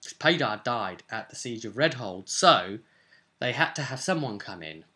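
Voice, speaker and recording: Bright-voiced young man rambling casually. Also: medium pitch, lively intonation, moderate pace. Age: young adult. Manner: rambling, informal, thinking aloud. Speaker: vlog host, tabletop game master. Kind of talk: casual vlog talk about a role-playing game. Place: small furnished room at home, indoors. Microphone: webcam or camera at desk distance.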